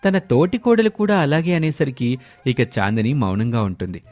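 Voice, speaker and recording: A man tells a scripted family tale as its narrator. His pitch is medium, and faint music plays under his voice. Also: very animated, moderate pace, darkish tone. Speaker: storyteller narrator. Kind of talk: scripted moral-story narration.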